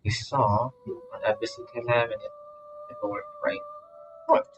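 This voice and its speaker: A man doing casual live gameplay commentary, excitable and repeating short phrases as he reacts. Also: medium pitch, measured pace, lo-fi sound. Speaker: streamer reacting to a game. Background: game music.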